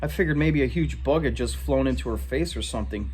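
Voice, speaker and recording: A man reading a story aloud in an animated way. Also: mid-range voice, quick pace, a little hiss or hum. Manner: animated, acts out dialogue in shouts. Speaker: reader. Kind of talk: read-aloud story narration.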